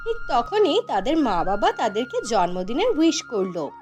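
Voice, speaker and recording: A woman's voice: a little high, wildly swooping pitch, normal speed, a little mellow. A woman narrating a scripted fairy tale for a cartoon, as a storyteller. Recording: music behind the voice. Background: background music.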